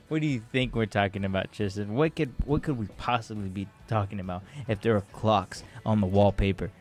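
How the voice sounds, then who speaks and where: A man's voice: lowish voice, moderate pace, darkish tone, faint music behind. Teasing young man hosting a radio talk show. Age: 20s. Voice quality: teasing, loose and rambling.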